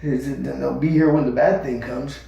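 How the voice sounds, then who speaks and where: A man's voice: medium pitch, steady tone, moderate pace, creaky, mellow, close to an everyday voice. A man voicing a small, nervous young male rabbit seer who pleads and stammers. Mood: frightened, urgent. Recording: echoey room, far from mic.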